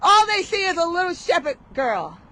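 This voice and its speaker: A woman's voice: very high-pitched, big pitch swings, moderate pace, bright, forceful tone. A woman giving an outdoor motivational rally speech, leading call-and-response with rhythmic, emphatic, rhyming delivery. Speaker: rally speaker.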